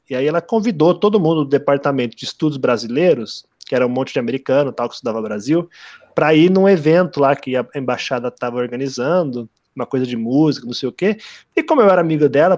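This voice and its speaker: A man's voice: mid-range voice, darkish tone, no echo, clean studio sound. A man co-hosting a podcast, telling anecdotes in a casual, hesitant way with many restarts. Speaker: podcast co-host.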